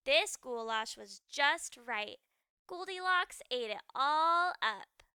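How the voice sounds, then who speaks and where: High, animated woman reading a storybook. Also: no room sound, studio quality. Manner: dramatic, animated, deliberate phrasing for children. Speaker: children's read-aloud storyteller. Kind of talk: expressive scripted storybook narration.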